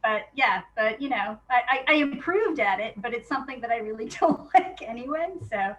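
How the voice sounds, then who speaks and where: A woman's voice: on the high side, expressive, moderate pace, lo-fi sound. A woman talking casually in an interview, chatty and self-deprecating in an informal way. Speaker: playwright being interviewed.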